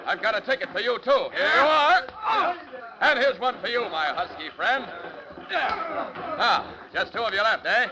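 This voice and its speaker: An actor playing a confident, brash superhero with a brisk, commanding, taunting manner in old radio style. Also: male voice, very high-pitched, big pitch swings, full character voice. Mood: taunting, jubilant. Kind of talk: 1940s radio acting. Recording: old radio broadcast with crowd noise.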